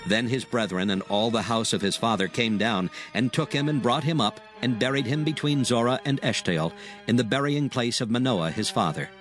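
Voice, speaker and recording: A man narrating dramatized scripture in a solemn, measured storytelling manner. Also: mid-range voice, fairly bright tone, soft background music.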